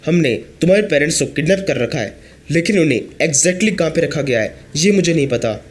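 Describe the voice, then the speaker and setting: A hoarse man reading the lines of an arrogant young prince who is shaken but scheming. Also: on the high side, animated, brisk. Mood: indignant.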